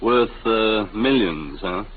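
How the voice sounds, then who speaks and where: A man's voice: on the low side, animated, slow. An actor playing a flustered, grumpy middle-aged businessman and husband, hesitant and blunt, in 1950s radio drama style. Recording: noisy, narrow-band vintage radio recording.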